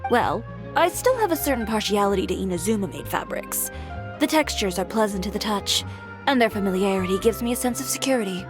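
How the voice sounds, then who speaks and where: A poised fashion designer, voiced by a woman, speaking in an even, mid-pitched voice with cool, dry self-assurance. She sounds unimpressed and practical, never hurried. Soft game music plays beneath. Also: natural voice. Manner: cool, dry, matter-of-fact. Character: composed, businesslike female boutique owner and designer. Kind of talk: game voice acting.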